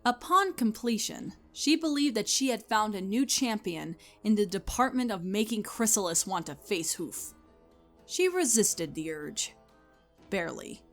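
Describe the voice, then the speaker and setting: A woman reading scripted story narration as the narrator, in an expressive storytelling manner. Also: mid-range voice, unhurried, soft background music.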